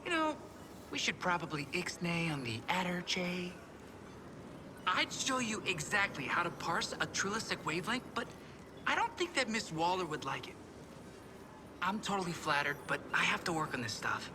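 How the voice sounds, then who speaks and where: A woman's voice: on the low side, big pitch swings, moderate pace. A woman voicing an awkward, friendly young techie in a video game, rambling and correcting herself nervously. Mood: nervous, flustered. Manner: rambling, hesitant, self-correcting. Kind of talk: video game character acting. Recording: game audio with clear ambient noise.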